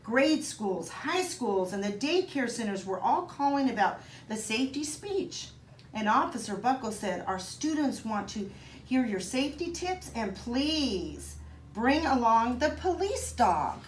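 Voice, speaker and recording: A woman reading a children's picture book aloud in an expressive, child-directed way, with chatty asides to young listeners. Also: a little high, a little slow. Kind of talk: animated storybook read-aloud for children with casual asides. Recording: roomy echo, some background noise.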